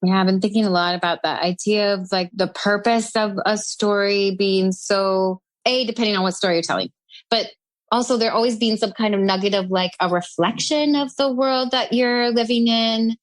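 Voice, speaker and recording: A woman's voice: mid-range voice, steady tone, moderate pace, no room sound. A woman in her forties from South Dakota, a guest writer, talking reflectively in long, flowing thoughts. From South Dakota, United States. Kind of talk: casual conversation.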